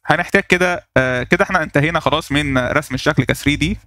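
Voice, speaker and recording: A man with an Egyptian Arabic accent walking through a software tutorial step by step, pausing on fillers as he works. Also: a little high, no room sound. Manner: explains step by step, thinking aloud with fillers. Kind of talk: screen-recorded software tutorial.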